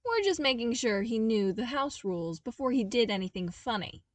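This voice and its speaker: A woman's voice: a little high, animated, normal speed, dry recording, pitched above the performer's own voice, lightly acted. Respectful, protective male soldier, formal address, sci-fi storybook role read by a woman, dry, slightly distant mic. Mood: defensive, dutiful. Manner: formal, addresses superior as sir.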